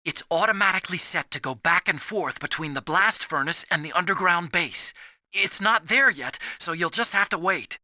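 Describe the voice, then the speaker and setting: A high, very bright male voice, close and dry. He is a smug video game adversary who explains matters with a mocking air. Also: lively intonation, normal speed, no echo, exaggerated voice. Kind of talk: dramatic video game voice acting.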